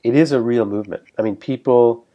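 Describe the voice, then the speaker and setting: A man's voice: mid-range voice, brisk, highs cut off. A man interviewing a guest, pressing him with challenging, pointed questions.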